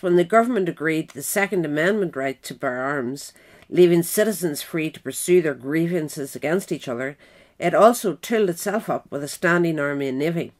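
Woman reading scripted narration, low-pitched. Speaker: narrator, reader. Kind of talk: scripted narration of a biographical essay.

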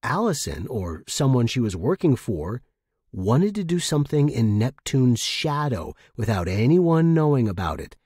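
Male story narrator, husky tone, very varied intonation, first-person fiction. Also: mid-range voice, very animated, unhurried, darkish tone, dry recording, clean studio sound.

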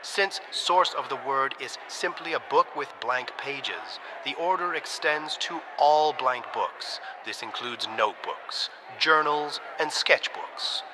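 A man plays a solemn news reporter in an audio drama. His voice is mid-pitched and formal, reading a bulletin with clear outdoor noise behind it. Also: slow, few pauses, natural voice. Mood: stern, formal. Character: solemn male news reporter for an oppressive regime.

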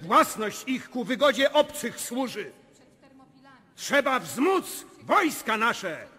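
An actor playing a stern, commanding presiding officer of a historical parliament, solemnly declaiming in a radio drama. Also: male voice, very high voice, very animated, slow, pushed and bright, slightly rough, full character voice. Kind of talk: theatrical radio drama oratory. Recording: long hall reverb.

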